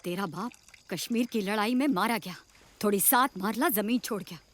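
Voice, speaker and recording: A woman's voice: medium pitch, moderate pace, close to an everyday voice. An actress playing a worried, widowed middle-aged village mother in a screen drama, plain-spoken and plaintive. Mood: anxious, fretful. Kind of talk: naturalistic screen drama acting.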